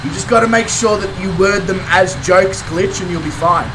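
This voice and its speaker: Croaky high-voiced Australian man. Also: moderate pace, distant mic, background noise. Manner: chatty, rambling, chuckles between remarks. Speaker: game streamer. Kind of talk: casual chat with live viewers.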